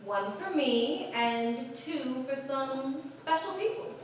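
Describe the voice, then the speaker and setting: A woman playing a bold, sharp-tongued but fond professional in a staged play reading. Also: high voice, expressive, slow pace. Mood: teasing. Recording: distant, echoey hall, noisy.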